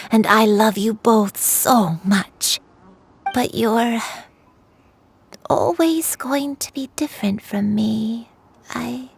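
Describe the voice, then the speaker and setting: An actress playing a frail, sorrowful old grandmother with failing memory in a video game, speaking haltingly and wistfully. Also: fairly high pitch, creaky, slightly rough, heavily acted. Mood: tender, sorrowful. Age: older. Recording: game music under the voice. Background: soft game music.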